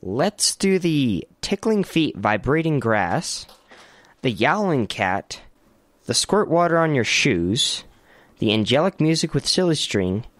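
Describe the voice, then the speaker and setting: Man playing an earnest, animated youth. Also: medium pitch, takes it slowly, no echo. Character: earnest, hesitant youth seeking money-making work. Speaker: actor in a family radio comedy. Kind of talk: family comedy radio play acting.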